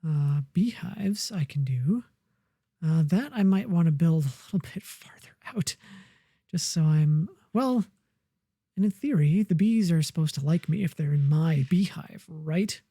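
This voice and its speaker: A woman plays through a survival game and chats to herself in a slow, unhurried ramble. Her voice sits low and mellow. The sound is dry and close, with no room around it. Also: husky, no room sound. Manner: relaxed, muttering to herself while building. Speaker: gamer. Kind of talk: casual let's-play commentary.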